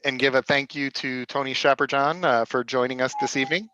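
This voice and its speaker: A man hosting a live-streamed theater reading, speaking casually and haltingly with many restarts. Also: mid-range voice, fairly even intonation, pushed and bright, a little hiss or hum. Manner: halting, many restarts and fillers, laughs often. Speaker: host of a theater company's reading. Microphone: video call. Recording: dry, dull lo-fi video call audio.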